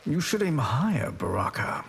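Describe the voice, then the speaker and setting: An actor playing a cruel, smug sorcerer in a video game, speaking in a measured, sardonic, superior manner. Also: male voice, fairly high pitch, big pitch swings, creaky, heavy background noise. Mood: haughty. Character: cruel, smug male sorcerer villain, coolly mocking. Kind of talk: video game character dialogue.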